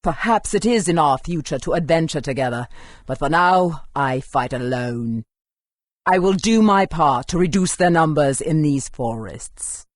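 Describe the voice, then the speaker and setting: A woman voicing an aloof, composed, self-reliant warrior in a video game, speaking formally and in a measured way. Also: deep voice, animated, dry recording, clean studio sound.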